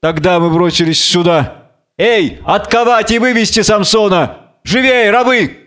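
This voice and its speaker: A man's voice: fairly high pitch, measured pace, voice pushed up, lightly acted. A man reading the part of a commanding, scheming Philistine lord, imperious and falsely solicitous, in a biblical tragedy.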